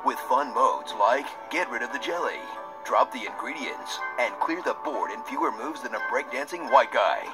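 A man's voice: medium pitch, lively intonation, normal speed, poor audio. A man narrating a scripted parody game trailer in a mock-dramatic, sardonic announcer style, played back through a screen's speaker. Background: loud music.

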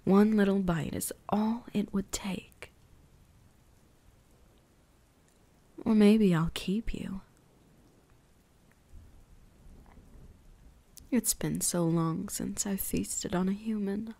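An ancient vampire huntress acted by a woman murmurs to her prey in an ASMR roleplay. Her voice is soft and dark, with breathy whispers and a creaky edge. She speaks very slowly, seductive, possessive and quietly menacing. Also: mid-range voice, fairly even intonation, dry recording. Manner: slow, teasing, menacing. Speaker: voice actress.

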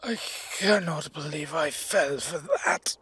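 Casting: male actor as age-old vampire father, very raspy and breathy, slow, halting, protective, for audio drama. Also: on the high side, sharp tone, full character voice. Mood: exhausted, wry. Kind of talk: audio roleplay acting.

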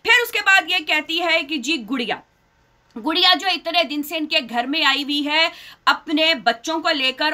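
A middle-aged woman vlogger delivers an indignant, scolding commentary straight to her webcam. Her voice sits high and sounds bright and sharp. She hammers her points home by repeating phrases. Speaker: reaction vlogger. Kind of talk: heated commentary to camera.

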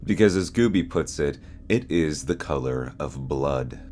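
A young man reading scripted narration as host, in a measured, serious, ominous storyteller manner. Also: very deep voice, animated, darkish tone, soft background music. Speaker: host and narrator of a horror explainer channel.

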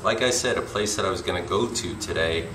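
A middle-aged man with a gravelly voice, talking casually and a little uneasily to viewers in a rambling handheld vlog. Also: low-pitched, quick pace, fairly bright tone. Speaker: urban exploration vlogger. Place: abandoned derelict house and surrounding woods. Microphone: handheld camera at arm's length. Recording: faint outdoor noise with chirping insects.

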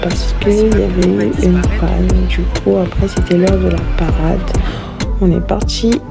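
A woman in her thirties narrating her family vlog in a relaxed, hesitant way with many pauses and ums. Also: lowish voice, creaky. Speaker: vlogger. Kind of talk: casual voice-over narration. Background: music.

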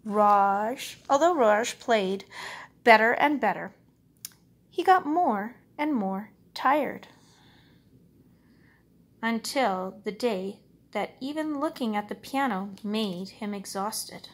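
A woman with a fairly high, noticeably husky voice reads a children's picture book aloud. She narrates expressively, with measured, dramatic phrasing, in the manner of a storyteller. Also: expressive.

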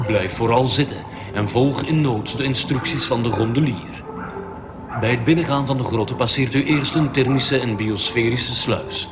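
A man's voice: mid-range voice, normal speed, very noisy. A man giving a comic, mock-solemn welcome announcement for a boat ride, dropping in absurd asides. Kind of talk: comic scripted attraction announcement. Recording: music playing loudly over it. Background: loud music.

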